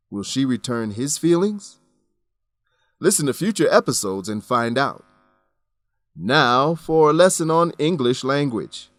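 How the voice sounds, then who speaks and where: A man's voice: medium pitch, a bit bright, no room sound. A man giving scripted narration as the presenter of an educational radio programme and language lesson. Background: music.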